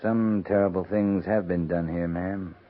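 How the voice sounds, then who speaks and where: Low-voiced, laconic cavalry captain, old radio. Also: male voice, steady tone. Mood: gentle, reserved. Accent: American. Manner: measured, laconic, authoritative. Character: steady, courteous middle-aged US cavalry captain. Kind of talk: 1950s radio western acting. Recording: muffled, noisy 1950s radio recording.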